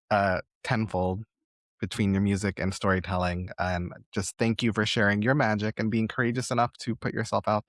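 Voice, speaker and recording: A man with a fairly low voice hosts a conversational interview. He is encouraging and generous with praise. The sound is dry, with very little room on it. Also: no room sound. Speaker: podcast host interviewing a guest.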